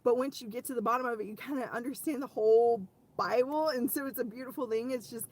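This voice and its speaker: A woman hosting a casual faith talk for her viewers, her voice hoarse and wearing out, rambling with frequent restarts. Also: a little high, fast, darkish tone. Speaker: ministry host speaking to viewers.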